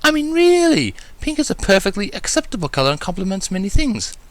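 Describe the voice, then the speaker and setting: A fussy, high-society lady unicorn acted by a man with a raised, swooping pitch. She is prim and theatrical, given to dramatic pronouncements in a storybook reading. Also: wildly swooping pitch, brisk. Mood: prim lecturing. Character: refined, fussy, dramatic female unicorn seamstress.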